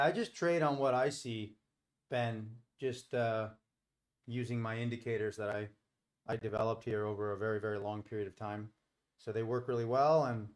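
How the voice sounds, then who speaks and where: A middle-aged man hosting a live stream, talking casually and explaining things in a rambling, informal way. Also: medium pitch. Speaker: live stream host and trader.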